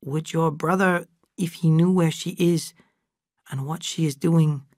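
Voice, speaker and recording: A man voicing a thoughtful, wise household servant who reasons in a calm way. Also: fairly high pitch, a little slow, no echo, studio quality, voice pushed up, close to an everyday voice.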